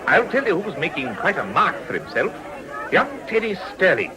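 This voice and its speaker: Clubby gentleman amid chatter. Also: high voice, huge pitch swings, normal speed. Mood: chatty, approving. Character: genteel gentleman gossiping about politics. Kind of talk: period radio drama acting.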